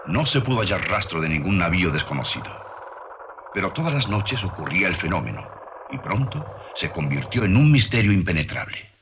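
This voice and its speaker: A man reading scripted documentary narration with measured, dramatic storytelling delivery, on an old film soundtrack. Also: sits low, very animated, highs cut off, background noise.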